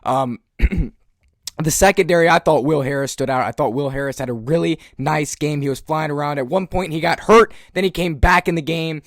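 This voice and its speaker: A young man whose voice is a little hoarse after a day of yelling, talking in a somewhat high register. He clears his throat often and pads his thoughts with filler as he breaks down the game. The sound is close and dry, with no room around it. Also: moderate pace, no echo. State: hoarse from screaming. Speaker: fan channel host. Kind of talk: post-game analysis monologue.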